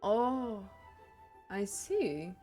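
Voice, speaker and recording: A woman's voice: medium pitch, sing-song, drawn-out words, mellow, faint music behind. A young woman livestreamer chatting casually as she reacts to videos and answers her viewers. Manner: chatty, reading and answering chat. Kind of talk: casual reaction stream chat.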